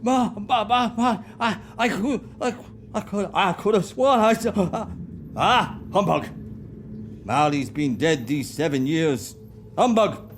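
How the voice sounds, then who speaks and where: A man's voice: sits high, animated, slow, slight background noise. A man with an Irish accent playing a stubborn, easily frightened old miser in a radio play, stammering and blustering.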